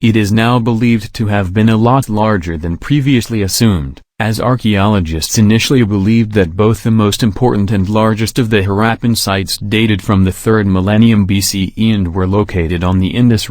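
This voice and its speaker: Low-voiced man reading passages aloud. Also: a little mellow, no room sound, clean studio sound. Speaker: model answer reader.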